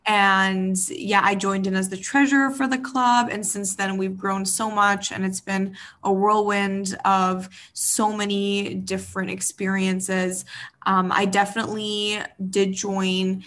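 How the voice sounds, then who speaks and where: A woman's voice: mid-range voice, little pitch movement, a little slow, a bit bright. A woman being interviewed over a video call, speaking earnestly with frequent "um"s. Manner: earnest, filler-heavy. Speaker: associate director of a nonprofit. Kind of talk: interview guest.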